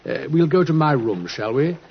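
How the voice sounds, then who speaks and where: Shrewd British detective, polite and commanding, very lively intonation, muffled vintage radio drama. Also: male voice, a little high, slight background noise. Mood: suavely probing. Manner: polite, brisk, knowing. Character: shrewd, urbane gentleman detective, quietly commanding. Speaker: actor in a radio play. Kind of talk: old-time radio drama acting.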